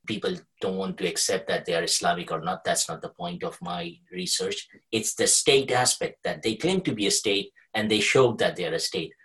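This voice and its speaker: Low-pitched man explaining as a guest. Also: sing-song, moderate pace, hardly any pauses, a bit bright, poor audio. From Kuwait. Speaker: podcast guest, researcher with a journalism degree. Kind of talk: conversational interview, explanatory.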